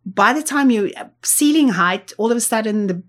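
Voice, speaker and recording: A woman's voice: on the high side, expressive, normal speed, a bit bright. Woman. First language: Afrikaans. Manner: explanatory, thinks aloud with restarts. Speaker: guest, associate professor. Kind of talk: interview.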